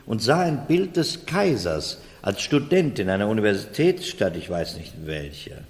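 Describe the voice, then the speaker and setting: An actor in a 1970s German radio play, playing a vain, self-mocking middle-aged gentleman who talks in a dry, insistent, aggrieved way. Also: on the low side, big pitch swings, moderate pace. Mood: reminiscing, boastful. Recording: reverberant room, vintage radio drama.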